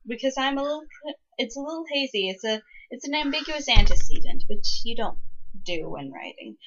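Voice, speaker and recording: A woman giving casual critical commentary in a pedantic, halting way, on a lo-fi recording. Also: sits high, expressive, fairly bright tone, a little hiss or hum.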